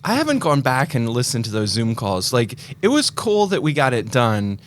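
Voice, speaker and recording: A man's voice: mid-range voice, very animated, moderate pace, slight background noise. A man co-hosting a movie podcast in casual chat, stuffed up from a head cold and rambling as he corrects himself. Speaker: podcast co-host.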